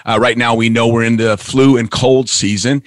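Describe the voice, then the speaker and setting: A man's voice: medium pitch, steady tone, moderate pace, no room sound, clean studio sound. A man hosting a radio health talk show, asking questions in a friendly, conversational way. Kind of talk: radio interview.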